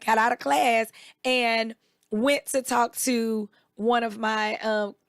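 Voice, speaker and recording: A woman with a raspy, slightly hoarse voice, chatting casually as co-host and acting out the people in her stories. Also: on the high side, takes it slowly, no room sound, studio quality. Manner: animated storyteller, quotes people in raised voices. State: recovering voice, a bit hoarse. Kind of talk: casual chat.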